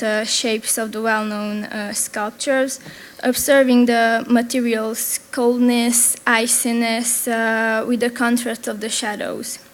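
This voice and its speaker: A young woman with a Hungarian accent presents her drawings and paintings, halting often and restarting her sentences. She speaks slowly in a fairly high voice that stays flat and even. Her words come carefully, as if she is nervous. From Transylvania. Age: young adult. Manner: hesitant, many pauses and restarts. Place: event venue.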